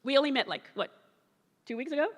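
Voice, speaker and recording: A woman's voice: high voice, animated, quick pace. Chatty Nigerian woman in a reverberant hall. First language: Igbo. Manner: chatty, many fillers and restarts, laughs easily. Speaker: classical singer introducing songs. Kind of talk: casual on-stage song introduction. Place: town hall concert stage.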